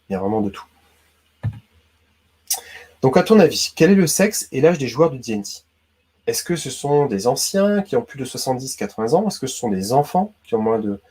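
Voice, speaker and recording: Man, husky voice, casual quiz host, chatty, talks to the viewer. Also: medium pitch, a little mellow. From Brittany, France. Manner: chatty, addresses viewer as tu, many hein and d'accord. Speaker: YouTube host.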